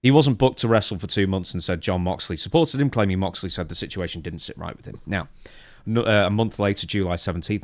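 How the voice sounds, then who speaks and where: A man with a fairly low voice co-hosts a wrestling podcast. He reads through news notes and comments on them conversationally. The sound is dry, with hardly any room in it. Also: no room sound. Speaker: podcast co-host. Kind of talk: reading out a wrestling news timeline and discussing it.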